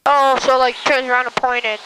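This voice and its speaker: A woman's voice: high-pitched, little pitch movement, normal speed. A woman learning to fly, giving brief casual responses inside a small airplane cockpit. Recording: loud engine noise over cockpit intercom.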